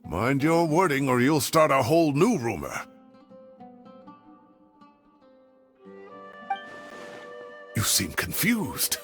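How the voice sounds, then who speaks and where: An actor playing an excitable, indignant old retired professor in a video game, with a theatrical, exclamatory delivery. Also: male voice, a little high, creaky, full character voice. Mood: playful protesting. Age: older.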